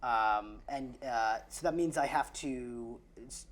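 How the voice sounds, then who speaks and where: A woman's voice: extremely low pitch, slow pace. A woman playing a tabletop roleplaying game, chatting casually and playfully as she improvises for her character.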